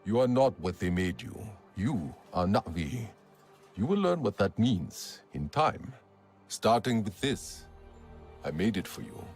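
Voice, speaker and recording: An actor playing a battle-hardened, proud alien clan warrior in a game cutscene, husky and grave, with formal phrasing. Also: male voice, on the low side, big pitch swings, unhurried, darkish tone, faint music behind. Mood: solemn, encouraging. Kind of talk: dramatic game cutscene acting.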